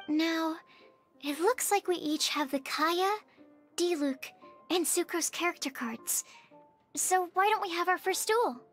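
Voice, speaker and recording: Very high-pitched, sweet young girl. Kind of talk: animated game dialogue.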